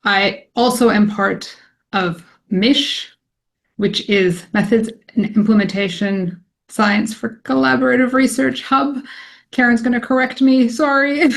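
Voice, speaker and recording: A woman's voice: fairly high pitch, fairly even intonation, slow pace, creaky. Canadian woman, forties, statistician presenter; chatty, self-deprecating, lots of ums. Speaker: academic statistician presenting a talk. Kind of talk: informal presentation to colleagues.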